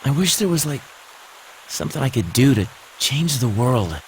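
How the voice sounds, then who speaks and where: A man voicing a brash, moody high school boy in an anime game, talking in casual, expressive slang. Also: moderate pace, a little hiss or hum, natural voice, rough recording. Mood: downcast, reflective.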